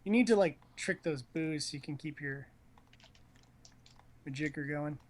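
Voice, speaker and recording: A man's voice: a little high, unhurried. Casual jokey guy chatting over a game. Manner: teasing, jokey, slangy. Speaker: commentator on a video game stream. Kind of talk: casual game-watching chat.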